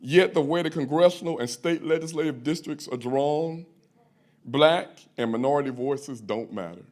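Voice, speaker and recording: Male public speaker from the US South, slightly high voice, emphatic oratory at a government hearing. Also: moderate pace, darkish tone. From Louisiana, US South. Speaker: public commenter, chapter president of a fraternity. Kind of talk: prepared public speech, oratory. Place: public hearing room in a courthouse.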